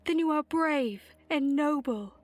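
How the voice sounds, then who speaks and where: High-voiced, earnest young-hearted duchess. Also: female voice, measured pace, faint music behind. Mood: gentle, earnest. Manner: courtly, earnest. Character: sheltered, earnest, kindly noblewoman, a duchess. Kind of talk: dark fantasy audio drama acting.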